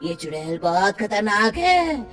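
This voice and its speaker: A woman voicing a solemn older male village exorcist in a cartoon story, speaking in grave, slow pronouncements. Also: medium pitch, very animated, a bit bright, faint music behind. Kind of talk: cartoon voice acting.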